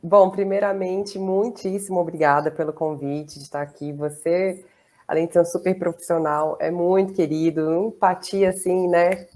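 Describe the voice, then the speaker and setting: Woman from goiânia, kinda low voice, chatty interview guest, lots of fillers. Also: fairly even intonation, moderate pace. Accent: Goiás Brazilian Portuguese. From southern Brazil, raised in Goiás. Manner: chatty, warm laughs between phrases, many fillers. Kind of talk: casual interview chat.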